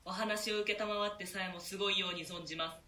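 A man's voice: sits high, fairly even intonation, pushed and bright, reverberant, distant mic. A man playing a polite, deferential jailer who speaks in formal, servile language. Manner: formal servile speech, measured.

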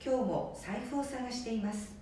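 A woman's voice: a little high, moderate pace, dark tone. Echoey woman narrating a radio drama. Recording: very echoey, distant, faint noise.

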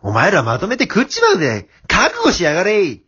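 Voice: medium pitch, wildly swooping pitch, fairly quick, a bit bright, husky, heavily acted. Radio drama wolf villain, played by an amateur: male, blustering, exasperated, easily bullied; slightly muffled lo-fi sound. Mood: menacing, exasperated. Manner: blustering, whiny, exasperated retorts. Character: hapless male wolf, blustering villain turned put-upon porter.

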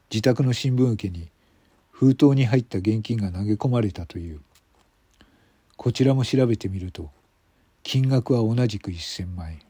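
Male mystery narrator: husky, mellow, dry sound, measured phrase-by-phrase scripted reading. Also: on the low side, no room sound. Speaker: narrator and author of an amateur radio drama.

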